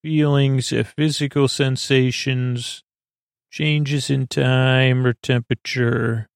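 A man hosting a bedtime podcast in a creaky, soothing voice, rambling through improvised tangents with mumbles and stumbles over his words. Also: mid-range voice, little pitch movement, takes it slowly, no room sound, studio quality. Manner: soothing, lulling delivery. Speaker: podcast host.

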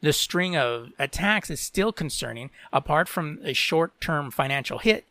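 A man's voice: a little high, animated, unhurried, pushed and bright, dry recording. A podcast host from Latin America, a man who reads tech and entertainment news aloud and breaks off into opinionated commentary peppered with "you know".

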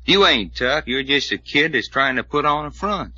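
A cocky good old boy in a radio adventure serial. His rustic drawl is very sharp and forceful at a middling pitch. The vintage recording is dull. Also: lively intonation, normal speed, no room sound. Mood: taunting. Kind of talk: old-time radio drama acting.

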